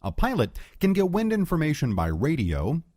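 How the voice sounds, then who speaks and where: A man reading scripted educational narration as an instructor, explaining in a clear, measured way. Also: fairly high pitch, wildly swooping pitch, dark tone, dry recording, studio quality.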